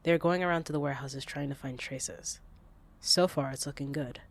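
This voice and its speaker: A man playing a matter-of-fact crime lieutenant in an audio drama, speaking in a measured, businesslike way. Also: medium pitch, close to an everyday voice. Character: matter-of-fact male crime lieutenant briefing his boss. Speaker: voice actor. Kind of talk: audio roleplay drama acting.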